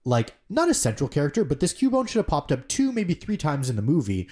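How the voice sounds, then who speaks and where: A man's voice: fairly high pitch, wildly swooping pitch, brisk, darkish tone. Rambling young male podcast co-host. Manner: rambling, heavy on filler words. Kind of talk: casual chat.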